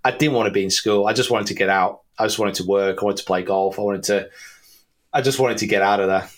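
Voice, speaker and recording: A man's voice: on the low side, quick pace. A man in his thirties with an English accent, chatting casually and self-deprecatingly as an interview guest. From England. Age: 30s. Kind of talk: casual conversational chat.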